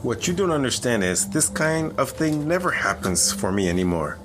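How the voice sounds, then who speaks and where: Lively, cocky maverick agent played by a man. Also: mid-range voice, normal speed, noisy background, close to an everyday voice. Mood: bored, restless. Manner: brash, sweary, needling. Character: bored, cocky maverick male government agent. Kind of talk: audio drama acting.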